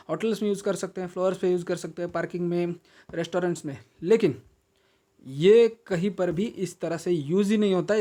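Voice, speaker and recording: An Indian man with a rather high voice and a relaxed, dark-edged tone hosts a casual product unboxing. He explains things step by step in an instructional way, hesitating as he goes and restating his advice. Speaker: video host and product reviewer.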